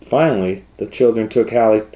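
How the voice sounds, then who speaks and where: A man reading a children's picture book aloud as a storytime host, animated and breaking off for chatty asides to the listener. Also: lowish voice, brisk, poor audio.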